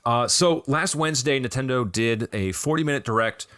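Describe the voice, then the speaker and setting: An American man with a slightly raspy voice, chatting casually and with some self-deprecation as the host of a video game podcast. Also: mid-range voice, normal speed, fairly bright tone, dry recording, clean studio sound.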